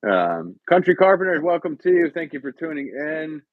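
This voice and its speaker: A man from Connecticut chats slowly on a live podcast, his pitch swinging widely as he rambles and laughs. The sound is dry and slightly dull, like a lo-fi mic. He fills gaps with ums and uhs. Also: sing-song, dry recording. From Connecticut, USA. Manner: rambling, laughs often, many fillers. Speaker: podcast host. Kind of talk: casual live-stream chat.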